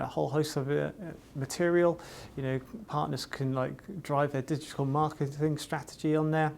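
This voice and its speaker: Gravelly guy from wales, pitches his company, you know this you know that, bit of hiss behind. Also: a little mellow. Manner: filler-heavy, repeats "you know". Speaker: distributor sales representative. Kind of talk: corporate promotional interview.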